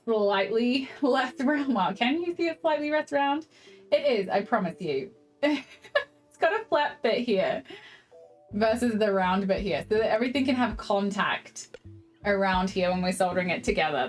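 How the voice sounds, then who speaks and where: Cheerful Kiwi woman, young, hosting a relaxed craft stream; bright sing-song greetings over quiet background music. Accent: New Zealand English. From New Zealand. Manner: bubbly, laughs often, greets chatters in a high sing-song. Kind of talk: casual live-stream chat while crafting.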